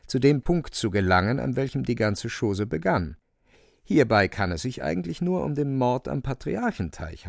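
A man's voice: mid-range voice, gravelly, a little mellow, no echo, studio quality. A man narrating a radio play from a script, a wry storyteller with colloquial asides.

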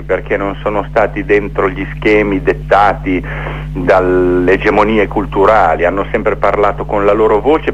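Middle-aged male guest, lowish voice, reflective storyteller on a muffled phone-in with faint hum. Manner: reflective, builds rhythmic lists. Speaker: theatre maker interviewed as guest. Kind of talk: radio interview, storytelling. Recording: dull, noisy phone-in with hum.

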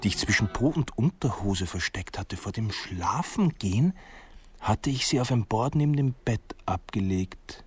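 An actor playing a stubborn, brooding private detective who muses dryly and wryly in a radio play. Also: male voice, lowish voice, expressive, normal speed, slightly rough, no echo, soft background music. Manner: reflective, dry first-person musing. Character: stubborn one-armed male private detective, brooding and wry.

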